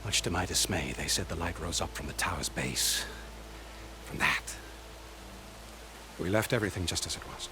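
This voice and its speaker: A man playing a grave, formal spymaster of a sun kingdom in a video game, measured and courtly. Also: low voice, close to an everyday voice. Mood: grave, wry. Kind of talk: dramatic video game acting. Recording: noisy ambience behind the voice.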